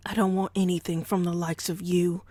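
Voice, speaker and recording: A woman playing a wary, defiant enslaved woman in an audio drama, speaking in a halting, old-fashioned formal way. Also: lowish voice, level tone, dark tone, no echo, lightly acted. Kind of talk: podcast audio drama acting. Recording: dry amateur home recording.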